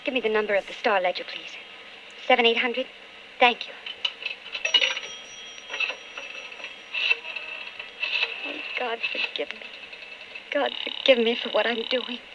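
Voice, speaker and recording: An actress playing an anguished, calculating wife of an alcoholic in an old-time radio drama, with intense, melodramatic delivery. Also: female voice, fairly high pitch, moderate pace, dull audio, very noisy, natural voice. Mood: tense, guilty.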